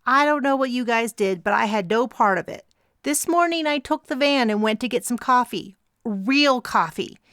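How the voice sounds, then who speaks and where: A woman's voice: on the high side, moderate pace, fairly bright tone, no room sound, clean studio sound, pitched above the performer's own voice, natural voice. A woman voicing a tearful young woman with a clogged nose. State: crying, stuffy nose.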